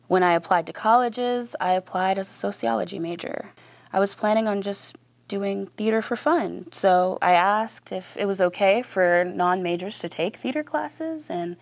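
A woman's voice: fairly low pitch, creaky, darkish tone, no echo. A young woman performing a personal monologue with wry humor. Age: young adult. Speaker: student storyteller. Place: indoor room with bookshelves.

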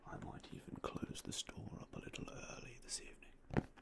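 A young man whispering in a polite, unhurried way as a game store clerk in an ASMR roleplay, close to a binaural mic. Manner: soft, polite, unhurried shopkeeper talk with fillers. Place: indoors in front of a game store backdrop. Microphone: binaural mic close to the face.

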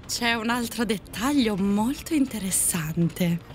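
A woman's voice: medium pitch, lively intonation, takes it slowly, soft background music. An actress playing a genteel, theatrical lady ghost who tells her story in an audio drama. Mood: knowing.

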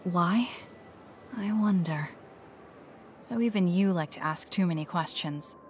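A woman's voice: on the low side, measured pace, a little mellow, a little hiss or hum, close to an everyday voice. An actress playing an aloof young female soldier in an anime-style video game, curt and matter-of-fact. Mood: cool, curt.

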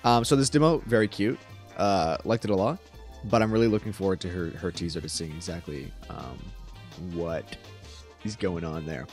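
A man's voice: low voice, normal speed, a little mellow, faint music behind. A young man doing casual, enthusiastic and rambling reaction commentary as a streamer. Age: young adult. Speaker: streamer reacting to a game trailer. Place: small room at home. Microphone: close desk microphone.

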